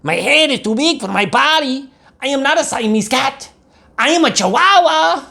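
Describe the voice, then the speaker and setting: A man voicing a boisterous young boy kitten who plays a Chihuahua swordsman in a storybook, excitable and showy, with a mock Spanish accent. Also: very high-pitched, moderate pace, creaky, pitched above the performer's own voice, heavily acted. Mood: boastful. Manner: excitable, sing-song, showy.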